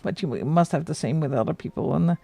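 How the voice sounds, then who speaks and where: A woman's voice: low-pitched, fast, gravelly, warm tone, dry recording, faint music behind. A middle-aged woman from the Netherlands with a Dutch accent, chatting casually and laughing often while she colours on a livestream. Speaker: livestream host.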